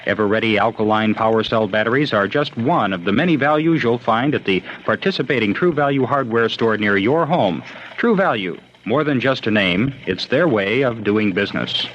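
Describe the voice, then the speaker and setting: A man's voice: on the low side, expressive, normal speed, a bit bright. A man reading a scripted radio commercial as an announcer. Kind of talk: scripted radio advertisement read. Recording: dull vintage radio, faint noise.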